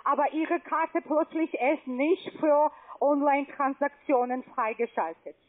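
A woman on a phone call posing as a bank agent, speaking slowly in halting, broken sentences and repeating numbers back. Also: sits high. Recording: tinny phone line.

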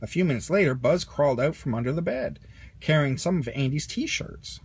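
Husky man reading a storybook. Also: fairly high pitch, expressive, moderate pace. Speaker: storybook reader. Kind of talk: expressive children's read-along narration.

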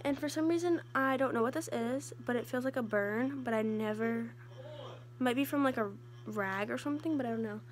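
A woman's voice: a little high, brisk, slight background noise, poor audio. Congested teen girl vlogging at home. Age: teens. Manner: chatty, rambling with lots of "like". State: congested, sniffly. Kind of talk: casual vlog chat. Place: home rooms and bedroom. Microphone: phone held at arm's length.

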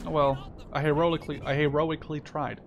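A man's voice: a little high, little pitch movement, brisk, creaky, muffled recording, a little hiss or hum. A man chatting casually with a teammate while playing a video game, rambling, repeating words and swearing freely. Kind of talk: casual gaming chat with a teammate. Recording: loud gunfire and explosions behind voice. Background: video game gunfire and artillery.

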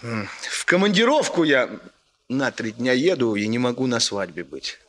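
A man's voice: mid-range voice, sing-song, normal speed, a bit bright. An actor playing a hearty, doting but evasive middle-aged family man with colloquial, hesitant speech in a 1960s radio play. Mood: apologetic, evasive.

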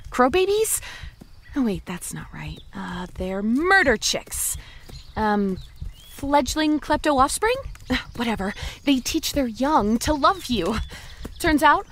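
A woman's voice: a little high, a little hiss or hum. A woman playing a chatty, panicky, friendly young zombie who rambles and quips to the listener in a comedy audio drama. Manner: rambling, quippy, self-interrupting.

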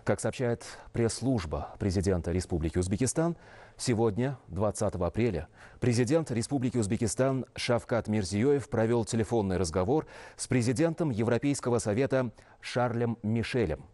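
A man in his thirties reading scripted news as an anchor in a formal, measured, official manner. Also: fairly low pitch, dark tone. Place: television news studio.

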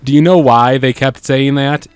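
A man's voice: medium pitch, steady tone, normal speed, clean tone. A man casually chatting while playing a video game, joking and laughing often. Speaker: let's play host. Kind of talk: casual gameplay chat. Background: game music.

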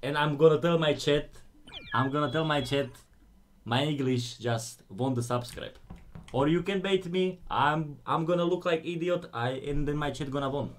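A man's voice: a little high, moderate pace, slight background noise. A man in his thirties with a Slovak accent, chatting playfully with his stream in broken English while gaming on a headset mic at home. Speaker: game streamer. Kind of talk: casual live-stream chat. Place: indoor home room.

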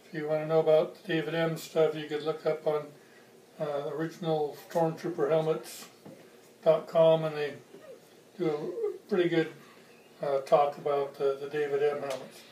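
A man's voice: a little high, fairly even intonation, normal speed, dark tone. Older man, distant and echoey. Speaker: hobbyist video reviewer. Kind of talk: casual product comparison, unscripted. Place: home kitchen, indoors. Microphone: camera mic a few feet away. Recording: distant mic, roomy echo, faint noise.

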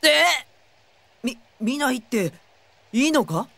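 An actor playing an easygoing teenage schoolboy in an anime audio drama, casual and hesitant. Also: male voice, very high-pitched, sing-song, takes it slowly, gravelly, fairly bright tone, no echo, exaggerated voice. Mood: flustered. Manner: casual, hesitant, stammering when flustered. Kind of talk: anime radio drama acting.

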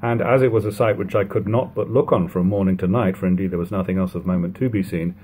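A man in his thirties reading a classic novel aloud at home, in a measured, steady way, letting sentence ends fall quiet. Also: sits low, slight background noise. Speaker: audiobook reader. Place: living room at home.

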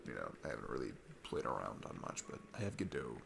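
A man's voice: rumbling low, big pitch swings, fast, creaky, background noise, full character voice. A young man playing an easygoing, earnest divorced dad on a date, chatting in a casual, rambling ASMR roleplay style. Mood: earnest, passionate. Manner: rambling, informal, chuckling often. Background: music.

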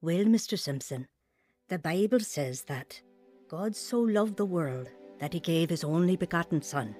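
Radio drama role: earnest, reassuring woman of faith, lowish and dark-toned, patient. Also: expressive, a little slow, soft background music. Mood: devout, earnest. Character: gentle, devout woman care worker, earnest and reassuring.

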